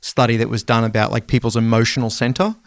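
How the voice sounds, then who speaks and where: A man co-hosting a podcast, talking in a rambling, conversational way and sometimes dropping his voice low. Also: level tone, fast talker, dry recording, studio quality. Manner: rambling, conversational, drops to a low mumble at times. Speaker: podcast co-host. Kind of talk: casual chat.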